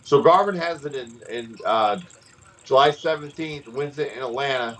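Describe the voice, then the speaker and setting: A middle-aged man with an even, mid-pitched voice rambles through stories on a casual podcast. He jumps from tangent to tangent and restarts his sentences often. His tone stays animated and chatty. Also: normal speed. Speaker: podcast host, former wrestler. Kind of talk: casual storytelling chat.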